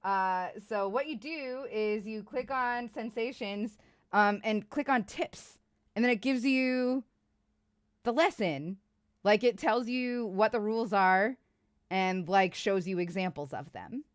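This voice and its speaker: A woman's voice: mid-range voice, slow, clear, resonant tone. A woman in her thirties from Iowa, chatting casually with livestream viewers in a rambling, self-deprecating way, swearing and laughing often. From Iowa, United States.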